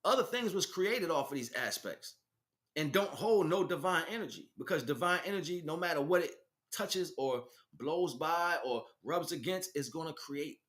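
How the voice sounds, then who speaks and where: A man's voice: a little high, expressive, moderate pace, a bit bright. A man giving an unscripted spiritual teaching as a livestream host, speaking emphatically and repeating phrases for stress. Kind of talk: unscripted spiritual monologue, preaching.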